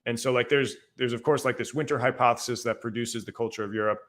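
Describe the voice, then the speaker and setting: Man from ireland, young adult, casual podcast guest, middle pitch, loose rambling delivery, lots of fillers. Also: fairly even intonation, fast talker, a bit bright. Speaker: guest historian.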